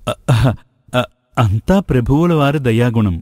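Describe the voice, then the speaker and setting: A man's voice: mid-range voice, lively intonation, a little slow, a little mellow, no room sound, studio quality. A man playing a greedy, courtly ascetic sage in a moral folk tale, with formal, theatrical phrasing. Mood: scheming. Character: flattering, greedy male ascetic sage, courtly and self-serving.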